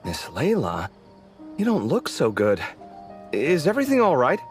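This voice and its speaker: An actor playing an animated, sociable young man who bickers sarcastically with his roommate, in a game cutscene. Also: medium pitch, moderate pace, over music. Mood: friendly. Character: expressive sociable young man architect, easily exasperated. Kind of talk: video game cutscene voice acting.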